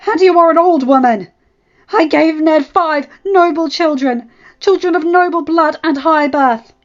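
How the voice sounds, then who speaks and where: A woman's voice: high-pitched, steady tone, a little slow, lo-fi sound, pitched above the performer's own voice. A woman voicing a furious, scornful middle-aged noble lady who hurls insults at a rival. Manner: shrieking insults, venomous.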